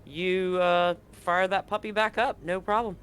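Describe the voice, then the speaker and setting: A man narrating as game master in a tabletop game, relaxed and full of fillers. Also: sits high, unhurried, slight background noise.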